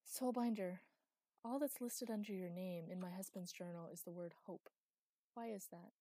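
A woman's voice: fairly low pitch, normal speed, mellow, no echo. A woman reading aloud, voicing an earnest, curious woman.

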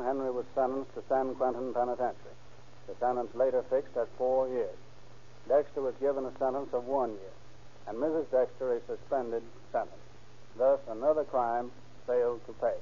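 An American man reading a scripted 1930s radio narration in a formal, matter-of-fact official manner. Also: medium pitch. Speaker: district attorney reading case summary. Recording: muffled 1930s radio recording.

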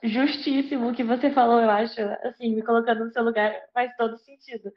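A woman's voice: a little high, little pitch movement, brisk. A young woman with a Brazilian Portuguese accent interviewing, asking long rambling questions filled with tag words. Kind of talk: conversational interview. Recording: dull lo-fi audio.

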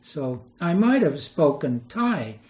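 A man's voice: a little high, sing-song, takes it slowly, darkish tone. An older man, a retired missionary, telling rambling anecdotes in an interview and chuckling as he goes. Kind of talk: storytelling interview.

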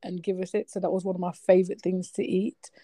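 A woman's voice: fairly low pitch, fast talker, no room sound, studio quality. A middle-aged woman born in Trinidad and raised in the UK, with a British accent that still carries a Trinidadian lilt. She tells food stories as a podcast guest and acts out the voices of her family. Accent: British with Trinidadian traces. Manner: animated storyteller who acts out family voices. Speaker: podcast guest, nurse and cook. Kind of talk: casual interview chat.

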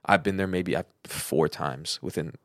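A man with a fairly low voice talks casually as a podcast co-host. He rambles along and repeats words for emphasis. The sound is very dry, with no room around him. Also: unhurried, no echo. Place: living in Los Angeles.

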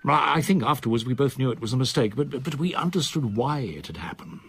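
An actor playing a reserved solicitor in his sixties in a radio drama, with a measured, formal, precise manner. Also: mid-range voice, animated, slightly rough. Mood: wistful, confessional. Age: 60s. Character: reserved older male solicitor, in his sixties, quietly confiding.

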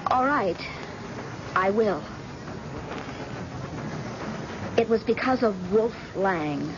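Grave, bitter daughter on noisy old radio. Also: female voice, medium pitch, lively intonation, dull audio. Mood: somber. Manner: deliberate, solemn storytelling. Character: grave, bitter grown daughter, guarded and deliberate. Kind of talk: old-time radio drama acting.

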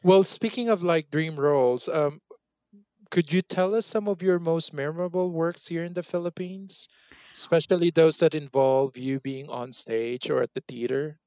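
A woman's voice: low voice, normal speed, darkish tone, no room sound, rough recording. A woman interviewing a guest, asking questions and giving short encouraging responses. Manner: brief encouraging backchannels.

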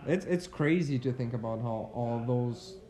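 A woman's voice: very deep voice, moderate pace, crowd noise. A woman in her twenties chatting casually with a friend, laughing often and swearing freely. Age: 20s. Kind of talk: casual chat between friends.

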